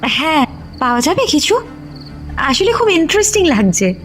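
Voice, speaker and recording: A woman's voice: high-pitched, very animated, normal speed, fairly bright tone. A woman acting a curious, eager young city visitor in an audio drama. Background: music bed with cricket sounds.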